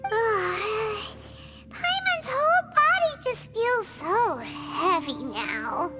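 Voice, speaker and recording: A woman's voice: very high-pitched, very animated, extremely slow, full character voice. A woman voicing a tiny, childlike, whiny fairy companion in a video game. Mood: weary. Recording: loud music behind.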